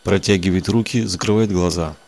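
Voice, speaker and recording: Low-voiced man reading audio description. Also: fairly quick, darkish tone.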